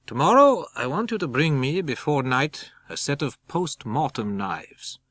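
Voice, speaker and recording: A man voicing a stern, commanding old foreign professor in a dramatic audiobook, with deliberate, halting phrasing. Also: on the high side, very animated, a bit bright, voice pushed up, full character voice. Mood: grave, conspiratorial.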